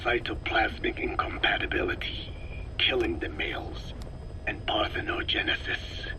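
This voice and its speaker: An actor playing a cold, older male scientist who lectures slowly in a gravelly whisper, on a game audio tape. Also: lowish voice, animated, background noise, natural voice. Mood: clinical. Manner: slow, lecturing, measured. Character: cold elderly male parasite scientist, calm and clinical. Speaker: scientist explaining parasites. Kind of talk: game audio log acting.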